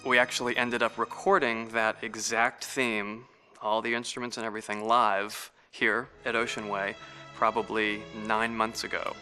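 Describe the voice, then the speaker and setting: A man's voice: fairly low pitch, little pitch movement, normal speed, pushed and bright. A man speaking as a composer in an interview about his own work. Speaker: film and game composer. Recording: music playing behind the voice. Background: background music.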